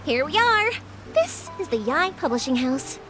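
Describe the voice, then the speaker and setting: An upbeat young woman in a fantasy game, fairly high and lively, encouraging in a big-sister way. Light music plays behind her. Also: normal speed. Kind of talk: game voice acting.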